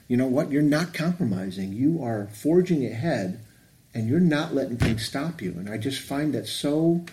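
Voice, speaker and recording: A man's voice: medium pitch, mellow. A man in his fifties hosting a podcast, talking in a reflective, rambling way with many restarts. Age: 50s. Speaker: podcast host. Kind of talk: casual chat.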